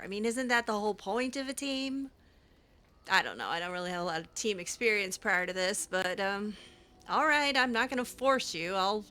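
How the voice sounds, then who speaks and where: A woman improvising in-character chat over a video game, casual and halting, with a teasing streak. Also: a little high, bright, forceful tone. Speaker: gaming channel host, roleplayer. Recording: music behind the voice. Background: game music.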